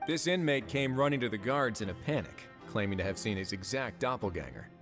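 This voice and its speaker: An actor playing a composed, authoritative prison warden in a video game, speaking formally with measured courtesy. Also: male voice, fairly low pitch, animated, music in the background. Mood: composed, courteous.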